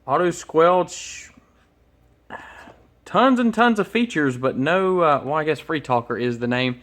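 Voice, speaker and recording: A man's voice: a little high, expressive, a little slow, a bit bright. A man giving casual, unscripted unboxing commentary as host, hesitant and thinking aloud as he goes. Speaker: host of an electronics unboxing channel. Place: indoors at a workbench.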